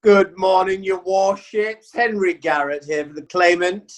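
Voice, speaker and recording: A man playing a genteel medieval lawyer who pleads a case in a measured, deliberate way. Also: high-pitched. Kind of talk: amateur radio drama.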